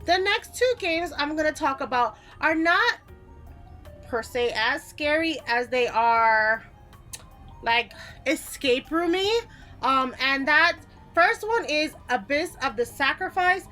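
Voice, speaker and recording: A woman's voice: sits high, expressive, slow pace, bright, forceful tone, faint music behind. A woman hosting a casual game list video, talking informally and conversationally with offhand asides. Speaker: video game YouTuber, host. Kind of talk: casual top-ten list commentary.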